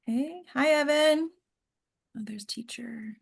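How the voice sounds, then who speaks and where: A woman's voice: high voice, slow, vocal fry. A woman hosting a table read, chatting casually and trailing off as she checks who has joined. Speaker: organizer and host of an acting table read. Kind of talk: casual chat while waiting for participants.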